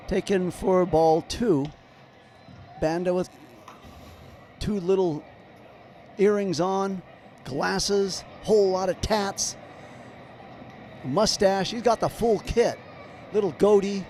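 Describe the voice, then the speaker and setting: A slightly hoarse man doing live play-by-play commentary of a baseball game, calling each pitch as it happens. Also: slow, pauses often, darkish tone, slight background noise. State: slightly hoarse, clearing throat.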